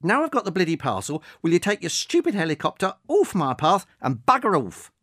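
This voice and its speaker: A narrator voicing a cantankerous, swearing woman of seventy-four, high and indignant. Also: wildly swooping pitch, normal speed. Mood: grumbling.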